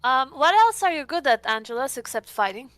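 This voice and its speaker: A woman's voice: high voice, big pitch swings, brisk, a bit bright, no room sound. A woman playing a tabletop roleplaying game, bantering playfully and casually in and out of character.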